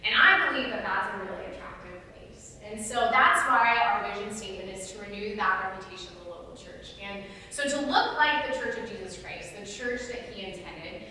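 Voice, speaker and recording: A woman's voice: mid-range voice, a little fast, few pauses, bright, forceful tone. A woman pastor giving a casual, chatty sermon to her church, laughing easily. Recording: echoey hall audio from far off with faint noise.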